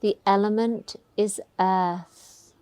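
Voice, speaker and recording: A woman teaching a guided meditation, giving gentle, soothing, unhurried instructions. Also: mid-range voice, fairly even intonation, smooth, no echo.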